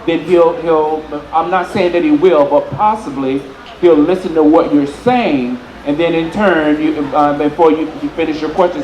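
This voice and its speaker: A man giving unscripted relationship advice, explaining deliberately and repeating phrases as he works through his point. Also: fairly high pitch, darkish tone. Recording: roomy echo with faint noise.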